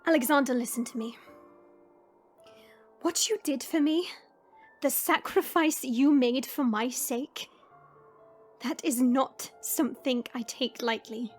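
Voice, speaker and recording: A woman playing a stern, proud but caring fantasy warrior, speaking in a measured, formal and dry way. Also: high voice, breathy edge, soft background music, exaggerated voice. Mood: firm, earnest. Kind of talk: fantasy audio roleplay acting.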